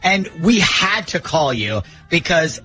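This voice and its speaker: A male American radio host with a high voice that swings widely in pitch. He is chatty and joking, moving easily between banter and phone interviewing, with a faint music bed behind him. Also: sing-song, takes it slowly, bright, forceful tone, low-quality recording. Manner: joking, talkative. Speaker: radio host running a prank call segment.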